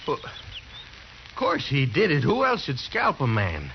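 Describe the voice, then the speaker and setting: A man's voice: fairly high pitch, huge pitch swings, slow, exaggerated voice. An actor playing a spiteful, agitated townsman in an old-time radio western, stammering and folksy in his accusations. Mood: agitated, accusing. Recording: muffled, noisy old radio recording.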